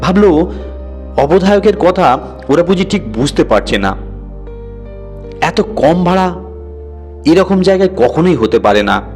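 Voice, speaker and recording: A man's voice: on the high side, sing-song, measured pace, long pauses, a little mellow. A man reads a scripted horror story as a dramatic narrator. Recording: music bed behind the voice. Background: music.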